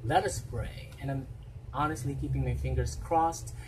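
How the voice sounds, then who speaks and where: A middle-aged man from the Philippines with a Filipino accent, excitedly reacting to a music video, laughing and whooping. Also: medium pitch, moderate pace, heavy background noise. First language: a Philippine language other than Tagalog. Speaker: reaction vlogger. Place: small room indoors. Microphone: webcam with headphones worn. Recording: distant mic with song playing behind. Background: loud pop music from the video.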